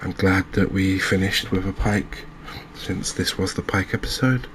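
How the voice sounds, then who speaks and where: A soft-spoken man narrating a gaming recap in a calming, hesitant ASMR style. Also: deep voice, unhurried, slight background noise. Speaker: host of a cozy gaming channel.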